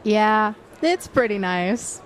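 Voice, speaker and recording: A woman's voice: mid-range voice, lively intonation, slow pace. An actress playing a modest, affectionate, slightly guarded young woman in an audio drama.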